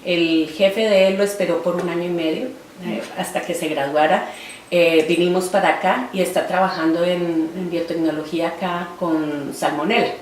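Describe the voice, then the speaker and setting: A Colombian woman in her forties speaks reflectively, pausing often on fillers as she recalls her life. She is heard from a distance in a roomy space with a faint hiss behind her. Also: lowish voice, steady tone. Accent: Colombian Spanish. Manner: reflective, halting, with many fillers. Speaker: interviewee, artist. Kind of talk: oral history interview.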